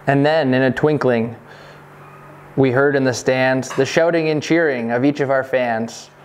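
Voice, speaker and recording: A man reading a scripted rhyming holiday poem in measured storytelling phrases. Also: medium pitch, steady tone, a little hiss or hum. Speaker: reader of a Christmas poem parody. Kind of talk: scripted verse narration.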